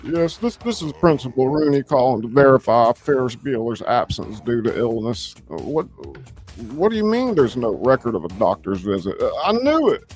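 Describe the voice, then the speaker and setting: A man voicing a suspicious, indignant high school principal on the phone in a live script reading, officious and blustering. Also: big pitch swings, moderate pace, a little mellow, soft background music, natural voice.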